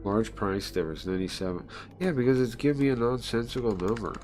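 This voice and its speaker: A man's voice: fairly low pitch, quick pace, music in the background. A man livestreaming casually, thinking aloud, muttering to himself and repeating phrases as he goes.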